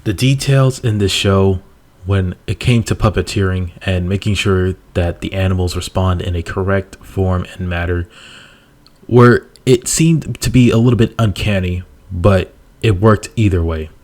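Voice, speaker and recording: A man narrating TV show commentary in wordy, somewhat halting explanations. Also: low-pitched, darkish tone. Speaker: video essay narrator.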